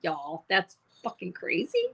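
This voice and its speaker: A woman's voice: fairly low pitch, huge pitch swings, unhurried, creaky, fairly bright tone, breathy edge. A woman in her fifties, a guest on a live stream, chatting casually, candid and gushing, with the odd swear. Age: 50s.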